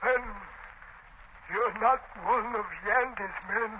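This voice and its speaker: An actor playing a tormented, fearful man trapped on an island, halting and ominous, in 1930s radio style. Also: sits high, fairly even intonation, lo-fi sound. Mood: haunted. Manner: halting, ominous, dramatic pauses. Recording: noisy band-limited 1930s radio broadcast.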